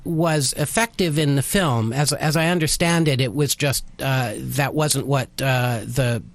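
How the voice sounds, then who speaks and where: A man giving a casual radio interview as a radio theater writer, explaining things in a hesitant, halting way. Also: medium pitch, fairly even intonation, creaky, dry recording.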